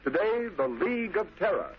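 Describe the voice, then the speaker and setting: A man working as a 1930s radio announcer, reading a scripted introduction and sales pitch in a declamatory style. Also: big pitch swings, normal speed, over loud music. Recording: muffled 1930s radio recording, faint noise.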